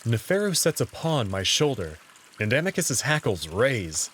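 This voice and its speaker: Lively male story narrator, mid-pitched. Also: moderate pace, a little hiss or hum. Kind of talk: scripted first-person narration of visual novel.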